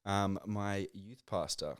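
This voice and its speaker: A man with a very deep voice tells a story in a relaxed podcast chat. The sound is dry and close, with no room around it. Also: slow pace, clear, resonant tone, no echo. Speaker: podcast guest. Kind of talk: casual chat.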